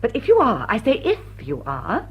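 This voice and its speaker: Poised lady thief, lively and husky. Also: fairly high pitch, moderate pace, muffled recording, full character voice. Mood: mock-solemn. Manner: arch, mock-innocent, polished. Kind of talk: period drawing-room radio drama acting.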